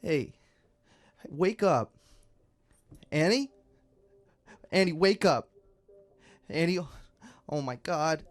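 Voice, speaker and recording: Young dude worried about his friend, kinda high voice, earnest n exasperated, radio play style. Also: animated, takes it slowly, long pauses. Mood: panicked. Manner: urgent, pleading, earnest. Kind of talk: naturalistic radio drama acting.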